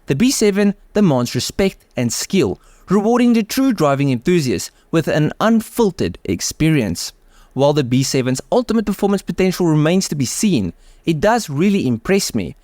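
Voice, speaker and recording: A man's voice: a little high, big pitch swings, moderate pace, darkish tone, no room sound. A man with a South African accent narrating a car video, enthusiastic and mixing scripted lines with offhand asides. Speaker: car channel host.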